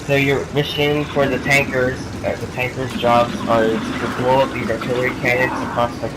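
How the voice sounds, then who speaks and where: A man's voice: mid-range voice, level tone, normal speed, very noisy. A young man with a cold, rambling through a casual gaming commentary with lots of fillers and restarts. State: has a cold. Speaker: gaming YouTuber. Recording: game sounds behind voice.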